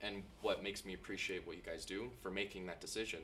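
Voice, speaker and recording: A man's voice: low-pitched, steady tone, fast talker, pushed and bright, slight background noise. An American man interviewing a band, asking long, rambling questions. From United States. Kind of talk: podcast interview.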